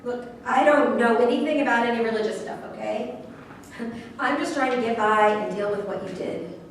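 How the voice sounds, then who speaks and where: A woman's voice: fairly high pitch, moderate pace, close to an everyday voice. An actress in a staged reading playing a bitter, skeptical bereaved woman, blunt and scornful. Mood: scornful, impatient. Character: bitter, skeptical bereaved woman confronting her partner's killer. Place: echoey room at a staged reading, far mic. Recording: very echoey, distant, faint room noise.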